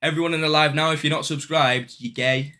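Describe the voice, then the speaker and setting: Bright-voiced young man streaming. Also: on the high side, steady tone, brisk, clean tone. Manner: slangy, swearing, banters with viewers. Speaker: day trading livestreamer. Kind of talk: casual live-stream chat.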